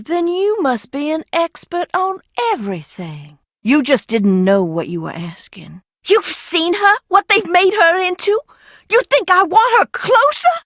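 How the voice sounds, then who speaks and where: An actress playing an eccentric, mischievous and bitter heiress in a video game, theatrical and sardonic, swinging between singsong teasing and hushed menace. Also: female voice, mid-range voice, no echo, clean studio sound, heavily acted. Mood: indignant. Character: eccentric, bitter, mischievous Southern heiress, secretive asylum graduate. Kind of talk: video game character acting.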